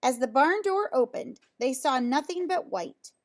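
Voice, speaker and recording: Female storybook reader, higher register, expressive and emphatic delivery for a young audience. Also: a little slow. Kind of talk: read-aloud children's story with dramatic emphasis.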